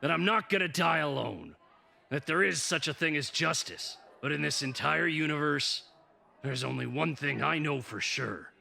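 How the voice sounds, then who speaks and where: A man playing a cynical, grandiose veteran newspaperman in a comic dub, gravelly and declamatory. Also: on the high side, lively intonation, normal speed, sharp tone, full character voice. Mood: cynical, philosophical. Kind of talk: dramatic comic dub acting.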